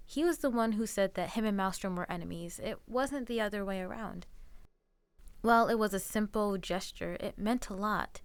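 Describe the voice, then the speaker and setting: A woman's voice: medium pitch, smooth, no room sound. A woman hosting a radio program in a chatty, playful, informal way, addressing listeners directly.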